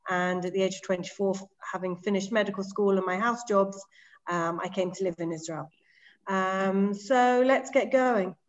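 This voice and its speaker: A middle-aged woman with an English accent presents a lecture online, speaking in a clear, organised way with frequent ums. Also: medium pitch, normal speed, highs cut off. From England. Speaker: medical director giving a webinar lecture. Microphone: video call.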